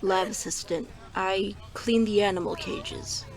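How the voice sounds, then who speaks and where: An actress playing an understated, unhurried woman lab worker in an audio drama. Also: medium pitch, huge pitch swings, faint music behind, low-quality recording. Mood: guarded. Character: woman doctor sneaking in as lowly lab assistant. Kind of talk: scripted audio drama acting.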